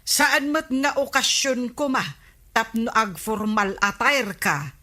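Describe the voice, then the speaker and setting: Slow, sharp Ilocano captain's wife. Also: female voice, fairly high pitch, lively intonation, exaggerated voice. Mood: skeptical. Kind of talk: Filipino radio comedy drama acting.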